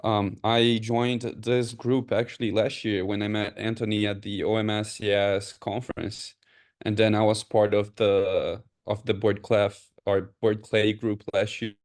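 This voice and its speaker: A man's voice: lowish voice, flat delivery, unhurried. A man from Brazil with a Brazilian accent, introducing himself casually as a team lead on a video call.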